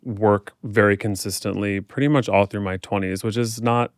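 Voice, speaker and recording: Smooth, low-voiced American man in a dry room. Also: fairly even intonation, moderate pace, no echo. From United States, Kansas City area. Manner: casual, uses like and you know often. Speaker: podcast guest, performer and fitness instructor. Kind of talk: reflective, candid interview conversation.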